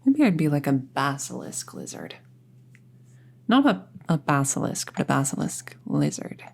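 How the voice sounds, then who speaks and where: A woman playing a friendly, chatty young apprentice witch in an intimate roleplay audio, musing casually in a soft, husky, breathy voice. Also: low voice, sing-song, a little slow, full character voice. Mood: dreamy, amused. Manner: casual, musing, softly breathy, often near a whisper.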